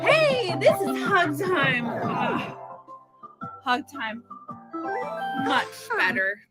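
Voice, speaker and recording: A woman's voice: extremely high pitch, huge pitch swings, drawn-out words, over loud music. A woman reads a children's picture book aloud in a playful, theatrical, interactive style, full of dramatic exclamations.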